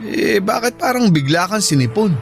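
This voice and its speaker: A man's voice: sits high, big pitch swings, normal speed, faint music behind. A man playing a caring, easygoing Filipino shipmate and buddy in an audio drama, encouraging in manner. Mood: concerned.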